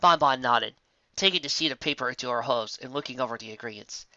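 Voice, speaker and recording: A man narrates a fantasy story in a bright, forward voice. He reads expressively, and the recording is very dry and close. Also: medium pitch, fast talker, no echo, muffled recording. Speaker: fan fiction reader.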